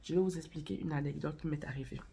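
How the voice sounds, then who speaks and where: A woman's voice: deep voice, steady tone, fast talker, vocal fry, dark tone, slight background noise. A woman hosting a casual vlog, chatting directly to viewers and telling a story while she has a cold and a cough. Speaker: channel host. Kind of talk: casual spoken vlog on faith and marriage.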